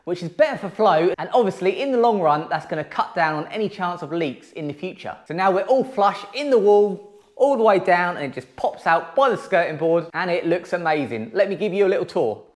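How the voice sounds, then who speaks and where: A man's voice: high voice, animated, fairly quick. Upbeat English man touring his renovation. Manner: enthusiastic, upbeat. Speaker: home renovation vlogger. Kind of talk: casual walkthrough narration. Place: empty room in a house under renovation.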